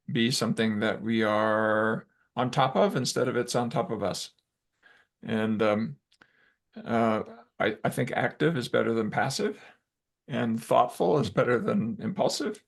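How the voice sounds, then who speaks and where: Gravelly man on a video call. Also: medium pitch. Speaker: guest speaker. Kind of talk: informal talk on a video call.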